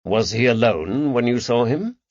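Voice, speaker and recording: A man as a measured, patient detective. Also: mid-range voice, expressive, darkish tone, dry recording, highs cut off, natural voice. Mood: probing. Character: patient, careful male detective questioning a child.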